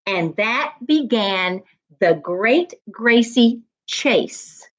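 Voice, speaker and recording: A woman reading aloud and teaching as a storytime reading coach, with deliberate, emphatic phrasing meant for young listeners. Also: fairly high pitch, animated, fairly bright tone, rough recording. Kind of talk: expressive children's read-aloud and teaching.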